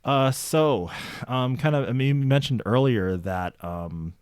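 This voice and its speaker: A man's voice: medium pitch, lively intonation, unhurried, smooth. A man hosting a podcast, talking in a casual, conversational interview style. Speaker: podcast host interviewing a guest.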